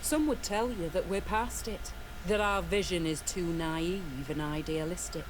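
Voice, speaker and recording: An actress voicing a principled, weary woman commander of a mercenary guard in a video game, speaking earnestly in measured, formal phrases. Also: lowish voice, noisy background, natural voice. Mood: earnest, warm.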